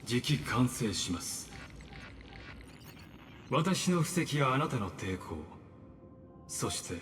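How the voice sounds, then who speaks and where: A man voicing a calm, polite and calculating male villain in a game dub. He speaks in a formal, measured way. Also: mid-range voice. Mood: courteous, cold. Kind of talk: Japanese game dub acting. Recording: game audio with reverb and faint music.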